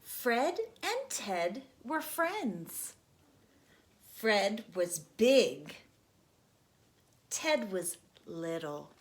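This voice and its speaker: A young adult woman, host of a storytime channel, reading a children's book aloud slowly and gently. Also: medium pitch, big pitch swings, slight background noise. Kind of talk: children's read-aloud narration.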